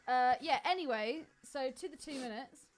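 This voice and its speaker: A woman co-hosting a podcast with casual banter, blunt, teasing and quick to swear. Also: high-pitched. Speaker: podcast co-host. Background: music playing behind the talk.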